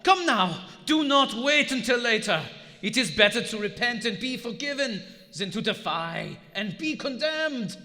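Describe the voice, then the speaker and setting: A man's voice: extremely high pitch, lively intonation, measured pace, sharp tone, full character voice. A man playing a crazed, fervent German submarine lieutenant haunted by visions, acting melodramatically in a live radio drama. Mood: frenzied, pleading. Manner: melodramatic, fervent, portentous delivery. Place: on stage in a large historic theater. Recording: live theater hall with strong reverb.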